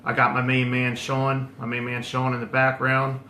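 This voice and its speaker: A man's voice: medium pitch, completely flat delivery, normal speed, echoey room, noisy background. A man hosting a casual online interview, stumbling and repeating words with many fillers. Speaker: host.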